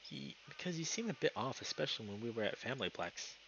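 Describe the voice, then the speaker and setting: A man voicing a timid, gentle young male lynx in a visual novel, speaking hesitantly and softly. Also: mid-range voice, big pitch swings, quick pace, vocal fry, muffled recording, slight background noise, pitched above the performer's own voice. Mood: concerned.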